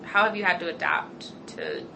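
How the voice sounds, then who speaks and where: A woman interviewer asking questions in a measured, inquiring way, heard off-mic. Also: lowish voice, wildly swooping pitch. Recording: noisy, off-mic.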